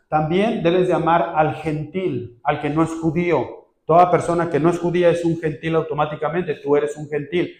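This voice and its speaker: A pastor preaching a sermon in a lively way, acting out quoted voices and often correcting himself mid-phrase. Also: male voice, fairly high pitch, reverberant.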